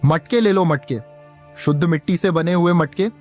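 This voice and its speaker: A man's voice: on the high side, moderate pace, a little mellow, soft background music, natural voice. A man voicing a poor, patient village pot seller and father in a cartoon, earnest and plaintive. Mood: hawking wares. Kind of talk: Hindi cartoon story dialogue.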